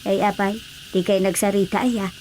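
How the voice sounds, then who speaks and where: A woman playing an older village mother in a radio drama, questioning and gently admonishing her grown son. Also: medium pitch, level tone, brisk, a little hiss or hum, lightly acted. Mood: concerned.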